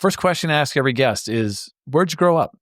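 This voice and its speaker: A man's voice: a little high, expressive, fairly quick, fairly bright tone, dry recording, clean studio sound. A man hosting an interview podcast, upbeat and enthusiastic in a casual chat, often restarting his words. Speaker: podcast host.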